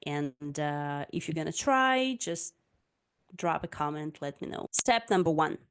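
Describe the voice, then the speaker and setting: A woman's voice: deep voice, animated, a little slow, smooth. A woman, an online English tutor talking casually to her viewers. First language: Russian. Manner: chatty and personal, with frequent "like" and "uh". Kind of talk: casual talk to camera.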